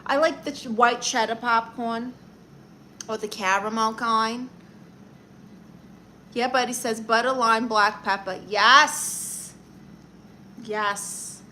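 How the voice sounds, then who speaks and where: Brassy Brooklyn woman, bright voice. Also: on the high side, fairly even intonation, slight background noise. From Brooklyn, New York. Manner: brassy, sassy, quick comebacks. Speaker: livestream host giving advice. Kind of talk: casual livestream chat reading comments.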